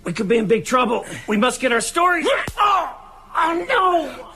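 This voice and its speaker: An actor playing a haunted, dry-witted writer in a radio drama, quick to alarm. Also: male voice, extremely high pitch, big pitch swings, gravelly, raised voice, crowd noise, exaggerated voice. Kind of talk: radio drama acting and narration.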